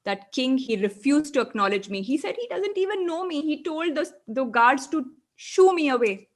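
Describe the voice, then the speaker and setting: A woman's voice: high-pitched, animated, normal speed. Lady doing a sweet naive shepherd boy, earnest and pleading, storybook. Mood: tearful. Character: innocent, eager young shepherd boy.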